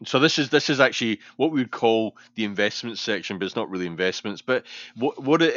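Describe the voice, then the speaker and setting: A man with a very low voice talks through a story on a podcast, hesitating and circling back as he explains. The sound is dry and a little muffled. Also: fairly bright tone, no echo. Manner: explains at length, hesitant and repetitive. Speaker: podcast co-host. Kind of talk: casual podcast discussion. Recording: dull, dry podcast audio.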